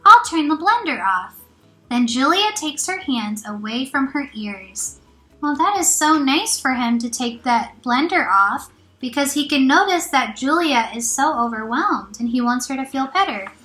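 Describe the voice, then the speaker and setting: A woman reads a children's storybook at a slow pace, as a teacher does for young listeners. Her voice is high and very lively, and she adds encouraging asides. The room is echoey. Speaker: teacher reading aloud. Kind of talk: children's storybook read-aloud. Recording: roomy echo, faint music.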